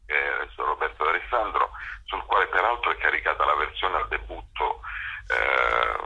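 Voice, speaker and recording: A man with a gravelly, husky voice answering as an interview guest, explaining haltingly and emphatically with drawn-out words. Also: extremely low pitch, pushed and bright, rough recording. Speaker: interview guest, actor and director. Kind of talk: conversational interview.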